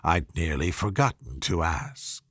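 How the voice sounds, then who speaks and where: A man voicing a sly, grinning talking cat from a storybook, languid and calmly teasing. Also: low voice, measured pace, dry recording, studio quality, voice pushed down, full character voice. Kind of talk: storybook character voice.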